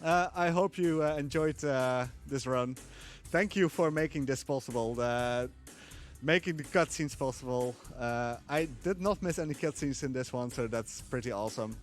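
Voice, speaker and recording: Casting: easygoing man commentating a game run, mid-pitched, faint music under him. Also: moderate pace. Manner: relaxed, chuckling, explanatory. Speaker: speedrunner. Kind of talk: casual live gameplay commentary.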